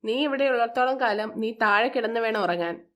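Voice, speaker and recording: A woman puts on a middle-aged stepmother from a moral tale, spiteful and scheming. Her fairly high voice scolds and drips with contempt. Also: normal speed, a bit bright, natural voice. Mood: scornful.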